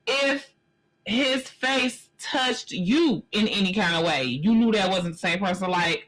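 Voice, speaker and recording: A woman's voice: a little high, fairly bright tone, low-quality recording. Blunt woman in her forties, commenting. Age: 40s. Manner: blunt and emphatic, addressing the viewer as "sis". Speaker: live stream host. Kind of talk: casual commentary on a news story.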